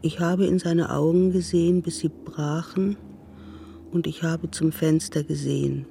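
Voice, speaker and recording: An actress playing a stubborn, grave elderly woman with a deliberate manner in a radio drama. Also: sits low, fairly even intonation, vocal fry, mellow, a little hiss or hum. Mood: sorrowful, subdued. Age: older.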